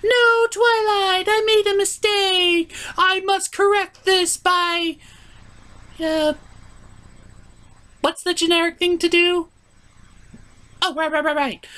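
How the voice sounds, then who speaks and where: A man's voice: extremely high pitch, steady tone, slow, long pauses, pushed and bright, pitched above the performer's own voice, heavily acted. A man voicing a contrite, theatrical female pony hand puppet in an overdramatic, self-aware manner. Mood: melodramatic remorse.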